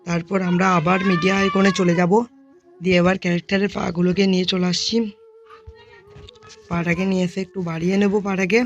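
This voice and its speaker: A tutorial host narrating software steps one by one, speaking informally to viewers as friends. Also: mid-range voice, little pitch movement, fast talker, pauses often, lo-fi sound, soft background music.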